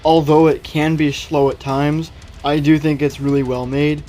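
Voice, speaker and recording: A man's voice: a little high, little pitch movement, moderate pace, dark tone. A man hosting a video, giving opinionated, conversational commentary in a casual explainer style. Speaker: video host. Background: background music.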